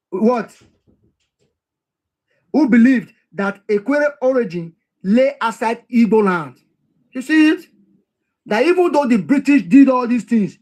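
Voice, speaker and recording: A man with a notably high voice works through a history text. He reads a passage, stops to explain it in plain terms, and says key lines twice to drive them home. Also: darkish tone. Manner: reads quoted passages then explains them, repeats key phrases for emphasis. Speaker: history commentator.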